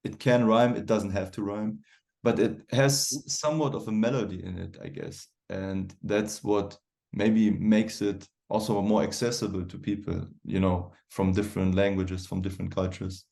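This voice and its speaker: A man's voice: fairly low pitch, fairly even intonation, normal speed, gravelly, mellow. A man hosting an interview, asking questions in a loose, chatty way.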